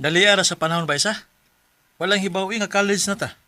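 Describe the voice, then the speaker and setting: A man's voice: high voice, fast talker, over loud music, pitched above the performer's own voice, lightly acted. A man voicing a teasing young male friend who pokes fun at the lead. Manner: teasing, excited.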